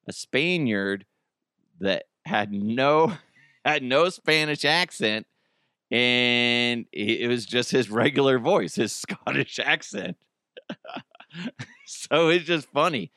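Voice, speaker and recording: A man hosting a comedy podcast, his voice raspy and hoarse. He rambles through the news, laughing at his own jokes. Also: lowish voice, fairly bright tone, no echo, clean studio sound. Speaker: podcast host. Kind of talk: casual comedic commentary.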